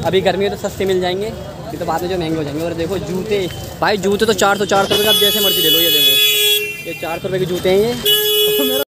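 A man's voice: sits high, lively intonation, a little fast, very noisy. A young man in his twenties vlogging as he walks a busy outdoor street market, chatting to viewers and repeating prices, filmed on a handheld phone. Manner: chatty, addresses viewers as guys and brother, asks prices and repeats them. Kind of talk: market walk-through vlog. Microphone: handheld phone at arm's length. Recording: loud street crowd behind.

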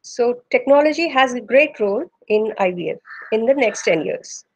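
A woman with an Indian English accent speaks over a webcam video call, her pitch sitting a little high. She answers steadily and pauses often on "uh" as she gathers her thoughts. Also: expressive, lo-fi sound, slight background noise. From Northeast India. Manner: measured, with frequent "uh" pauses. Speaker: embryologist guest.

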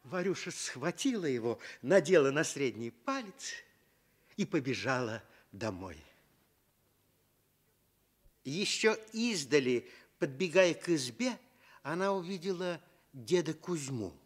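A man narrating a children's tale for radio with deliberate, expressive phrasing. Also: on the high side. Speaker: storyteller.

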